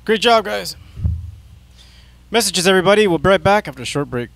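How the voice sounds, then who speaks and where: A man hosting a casual band interview, asking relaxed questions with plenty of fillers. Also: high-pitched, a little fast, vocal fry. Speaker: podcast host.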